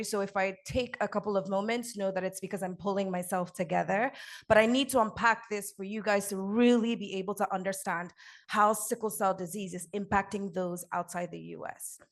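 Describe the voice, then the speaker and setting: A woman in her thirties from Nigeria, with an American accent she picked up at school, tells her story directly to a live audience. Her voice has a gravelly edge of vocal fry, and her delivery is earnest and direct. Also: mid-range voice. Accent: American, learned over a Nigerian one. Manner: earnest, direct, addresses the audience warmly and at length. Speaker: conference speaker and podcast co-host. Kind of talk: personal testimony speech to a live audience.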